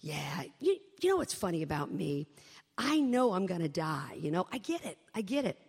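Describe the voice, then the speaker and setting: A woman's voice: on the low side, sing-song, normal speed, gravelly, a little mellow, husky, full character voice. A woman voicing a goofy, tactless middle-aged male doctor who blurts out jokes and gloomy musings, heard in a live hall. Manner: blurting, jokey, then gloomy. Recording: long hall reverb.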